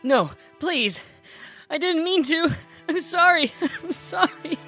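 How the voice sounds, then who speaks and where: A small, terrified monster begs in an extremely high, piercing voice. The delivery is slow, halting and desperate, as in a dark fantasy audio drama, with music underneath. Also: female voice, animated, exaggerated voice. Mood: terrified pleading.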